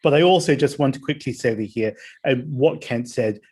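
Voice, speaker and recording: A man moderates a panel discussion in a measured, even manner. His voice sits at an ordinary middle pitch, and he puts questions to the panelists one after another. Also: a little hiss or hum. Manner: measured, reads out audience questions.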